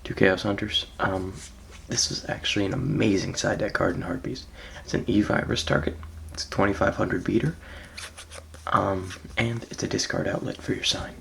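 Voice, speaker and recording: A man with a raspy, just-woke-up voice, casually walking through his card deck with frequent ums and soft trailing phrases. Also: low-pitched, steady tone, normal speed, a little hiss or hum. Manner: laid-back, hesitant, frequent ums, trails off softly. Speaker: card game player presenting his deck.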